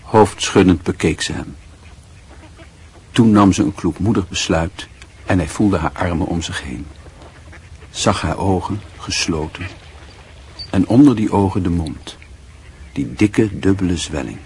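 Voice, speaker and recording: An actor narrating a literary radio drama in a measured, wry and sombre manner. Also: male voice, low-pitched, darkish tone, a little hiss or hum.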